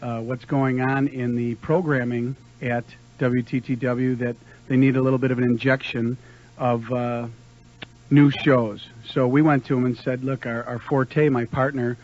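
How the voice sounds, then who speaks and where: A man's voice: medium pitch, level tone, unhurried. An American man answering questions as a guest in a radio interview. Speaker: interview guest, concert promoter. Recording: dull lo-fi radio broadcast recording.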